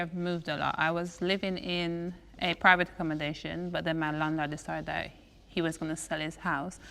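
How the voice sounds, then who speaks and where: A woman's voice: lowish voice, creaky, a bit bright, big-room sound, slight background noise. A woman in a casual interview, trading questions and straightforward answers. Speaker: housing tenant in a peer interview.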